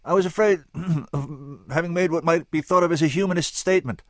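A man voicing a gentle, earnest male jazz bassist with a wavering tenor voice and a careful, soft-spoken manner. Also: a little fast, no echo, clean studio sound, lightly acted.

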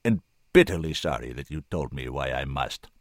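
Man acting a weighty, very deep old senator. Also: no echo, studio quality. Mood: grave, resolute. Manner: slow, deliberate, thundering when roused. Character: old male senator, weary, commanding, gravely kind.

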